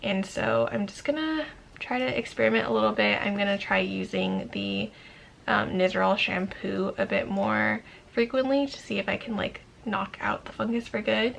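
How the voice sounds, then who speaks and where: Young woman, raspy morning voice, gravelly fry, casual rambling chat, faint room noise. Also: mid-range voice. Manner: relaxed, rambling, lots of ums and likes. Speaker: beauty vlogger. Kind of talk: chatty get-ready-with-me vlog.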